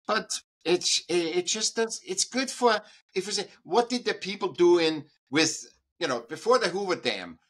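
A man's voice: medium pitch, a bit bright. A man, a guest artist and educator, talking in an interview in an emphatic way, restarting sentences and repeating points for stress. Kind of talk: conversational interview.

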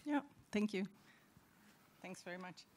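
A woman presenting on a large conference stage, polite and slightly halting as she begins. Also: mid-range voice, animated, a little mellow. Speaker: company presenter.

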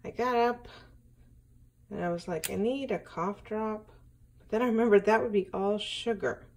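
A woman in her forties, hoarse from a sore throat, chatting in a rambling, self-deprecating way in a casual video diary filmed in her home kitchen. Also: mid-range voice, moderate pace, darkish tone. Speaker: diet vlogger. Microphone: camera at arm's length.

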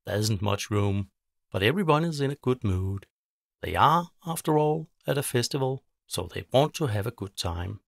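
A man's voice: medium pitch, lively intonation, moderate pace, vocal fry, no echo, clean studio sound. A man narrating a scripted children's bedtime story, telling it simply in short phrases.

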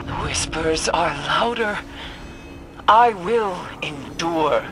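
A woman's voice: sits low, expressive, slow, heavy background noise. An actress playing a haunted, cryptic female seer in a video game, grave, intense and ominous. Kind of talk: cinematic video game acting. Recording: reverberant, music over the voice.

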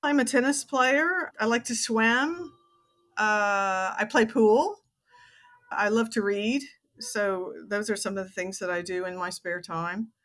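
A woman's voice: medium pitch, slow, a bit bright. A woman in her sixties from Texas with an American accent, talking conversationally as an interview guest. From Texas, United States. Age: 60s. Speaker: podcast guest, author and teacher.

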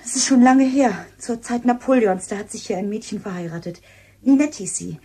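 An actress playing a frightened, superstitious young maid in a German radio play, husky and hushed. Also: female voice, a little high, a little fast. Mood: earnest, eerie.

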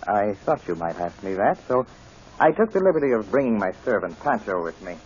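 An actor playing a smooth, falsely polite, menacing major in a vintage radio drama. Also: male voice, on the low side, big pitch swings, brisk, a little hiss or hum. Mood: falsely genial. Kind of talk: 1940s radio acting. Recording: dull old radio broadcast.

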